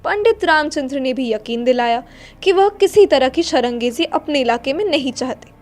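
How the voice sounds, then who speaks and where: High-pitched woman narrating a story. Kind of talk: dramatic literary story narration. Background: faint music.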